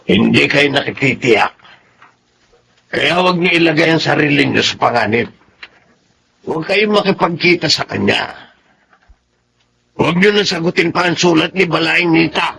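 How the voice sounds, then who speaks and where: A man with a Filipino accent playing a stern, protective old grandfather in a radio drama, with a gravelly voice. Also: a little high, normal speed, long pauses, bright, forceful tone, slight background noise. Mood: stern, warning. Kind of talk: Tagalog radio drama acting.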